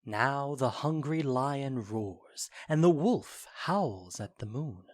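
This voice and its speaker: A man playing a mischievous fairy spirit of the night in an audio drama, with deliberate, theatrical storytelling. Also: mid-range voice, expressive, no room sound, studio quality. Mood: eerie. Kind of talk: audio drama verse monologue in modern English.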